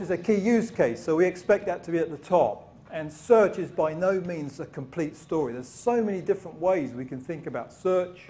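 A man's voice: fairly high pitch, a little mellow. Man, keynote speaker, casual delivery with fillers, talks to a live audience. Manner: loose, many fillers, asks the audience questions. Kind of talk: conference keynote talk. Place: conference hall with a live audience.

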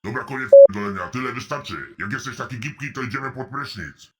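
A man voicing a sleazy, menacing male prison inmate in an animated comedy, with a deadpan, mock-friendly manner. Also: mid-range voice, a little fast, crowd noise, lightly acted.

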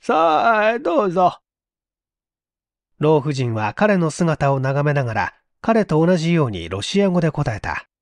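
A man's voice: on the high side, big pitch swings, normal speed, hardly any pauses, a little mellow, no room sound. A man reading a literary mystery story aloud as a dramatic narrator. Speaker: story reader.

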